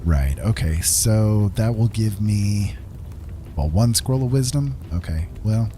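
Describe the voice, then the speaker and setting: A man's voice: lowish voice, animated, slow pace, warm tone, slight background noise. A middle-aged man livestreaming a game, chatting casually in a friendly, musing, polite way. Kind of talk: casual chat while gaming.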